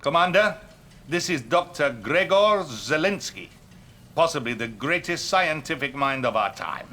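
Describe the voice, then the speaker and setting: An actor playing an authoritative Soviet leader in a game cutscene, formal and commanding, with a Russian accent. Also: male voice, a little high, expressive, a little slow, sharp tone, a little hiss or hum. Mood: stern. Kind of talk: dramatic game cutscene acting.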